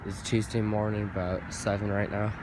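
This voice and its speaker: A man's voice: on the low side, level tone, normal speed, background noise. A man hosting his own video channel, talking in a chatty, rambling way, his voice a little off after being sick. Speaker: channel host. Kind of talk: casual vlog talk to camera. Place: outdoors by a street in the early morning.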